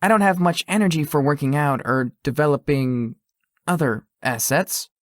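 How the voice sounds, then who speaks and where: A woman's voice: low voice, normal speed, no echo, clean studio sound, close to an everyday voice. A woman voicing a shy, easily flustered, sweet young man in a visual novel, with a hesitant manner. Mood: friendly, gentle. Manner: hesitant, stammering when embarrassed. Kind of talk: visual novel character acting.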